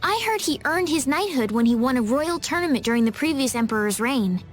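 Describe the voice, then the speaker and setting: A woman voicing a gentle, determined and earnest young heroine in a video game. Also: high voice, expressive, fairly quick, soft background music. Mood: informative.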